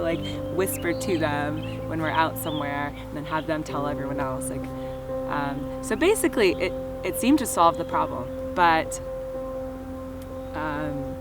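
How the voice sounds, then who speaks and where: A young woman talks through her own story in a relaxed, chatty way, leaning on filler words and restarting her sentences. Her voice sits a little low for a woman. Loud music plays underneath her the whole time. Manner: casual, filler-heavy, lots of "like" and "just". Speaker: vlogger. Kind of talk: personal storytelling to camera.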